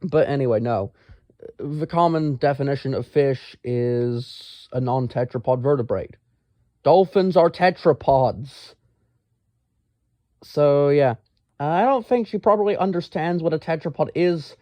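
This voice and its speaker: A man telling a casual, rambling story in a pedantic, exasperated, halting manner. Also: fairly high pitch, mellow, no room sound. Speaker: storyteller, amateur science enthusiast.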